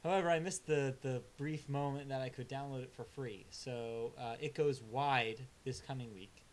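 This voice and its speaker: A man's voice: mid-range voice, steady tone, normal speed, low-quality recording. A man co-hosting a casual album review chat, laid-back and hesitant with lots of filler sounds, delivering blunt, dry verdicts. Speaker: music review co-host.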